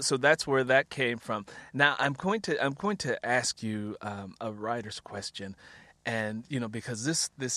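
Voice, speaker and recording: Bright-voiced man hosting an author interview. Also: mid-range voice, dry recording. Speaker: interview show host and novelist.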